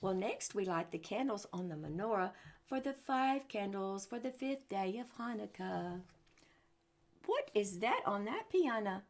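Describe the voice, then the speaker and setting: Female children's storyteller, creaky fry in the voice, chatty read-aloud with questions and exclamations for the kids. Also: medium pitch, very animated, measured pace. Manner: asks the young listeners rhetorical questions. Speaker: storyteller and religious teacher for children. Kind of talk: picture-book story time for children.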